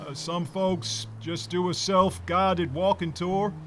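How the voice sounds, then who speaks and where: Casting: male actor as practical ferry crewman, high voice for a man, noisy backdrop. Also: fairly even intonation, takes it slowly, fairly bright tone. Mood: matter-of-fact. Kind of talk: audio screenplay acting.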